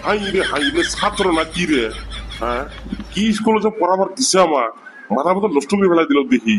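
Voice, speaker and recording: A man's voice: fairly high pitch, animated, fairly quick, slight background noise, close to an everyday voice. A man voicing an exasperated, scolding village teacher. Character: exasperated middle-aged male village teacher.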